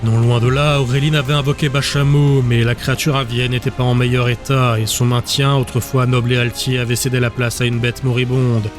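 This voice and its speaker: Even-toned male audiobook narrator. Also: medium pitch, brisk, few pauses, over music. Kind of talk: scripted dramatic fantasy audiobook narration.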